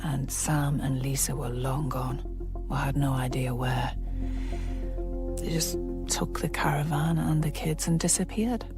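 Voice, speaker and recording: A woman's voice: very deep voice, steady tone, a little slow, music in the background. An actress playing a troubled mother who recounts an epidemic in a sober, reflective radio drama style. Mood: grim, reflective. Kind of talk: radio drama narration and dialogue.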